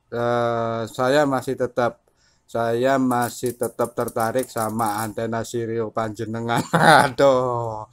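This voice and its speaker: A man chatting casually about technical matters as an enthusiastic radio hobbyist. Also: mid-range voice.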